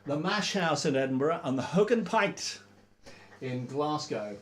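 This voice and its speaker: A man hosting an informal livestream, talking in a relaxed, rambling way with lots of fillers. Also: on the high side, a little slow, reverberant. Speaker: band member hosting a livestream.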